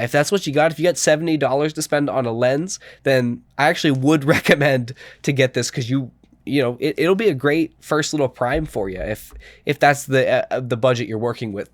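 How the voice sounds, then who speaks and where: A man's voice: medium pitch, no room sound. Stuffy-nosed young man rambling about gear. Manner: rambling, lots of ums and restarts. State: stuffy nose from a cold. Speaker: camera gear reviewer. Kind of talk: casual spoken review.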